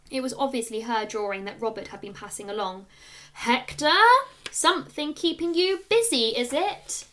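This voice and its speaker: A woman reading a children's story aloud like a schoolteacher, with expressive narration and clear storytelling emphasis. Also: fairly high pitch.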